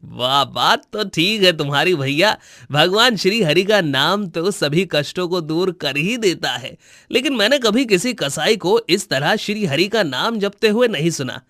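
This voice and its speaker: A man's voice: high-pitched, animated, measured pace, fairly bright tone, no echo, studio quality. A man playing a friendly, curious village customer in a cartoon moral story, chatty and approving. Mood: impressed, curious.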